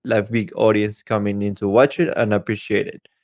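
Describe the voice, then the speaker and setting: A man's voice: lowish voice, level tone, moderate pace, a little mellow, dry recording, studio quality. A man talking casually as a podcast co-host, with slightly non-native phrasing.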